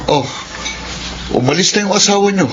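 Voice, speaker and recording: A man's voice: sits high, animated, normal speed. A man playing a bitter, proud eldest son, cold and confrontational, in a Filipino radio drama. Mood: resentful. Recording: muffled, noisy radio broadcast.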